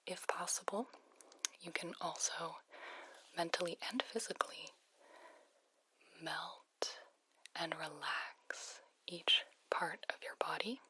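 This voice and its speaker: A woman speaks in a very slow, breathy whisper with a crisp, bright edge, through a telephone filter. She plays a sleep hotline specialist in an ASMR roleplay. Her delivery is soothing and gently instructive. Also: lowish voice, rough recording. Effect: phone voice filter. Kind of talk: ASMR roleplay, guided relaxation.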